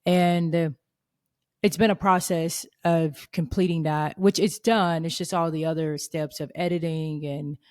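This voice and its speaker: A woman in her thirties hosting a faith podcast, talking in an earnest, reflective way. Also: on the low side. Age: 30s. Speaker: podcast host.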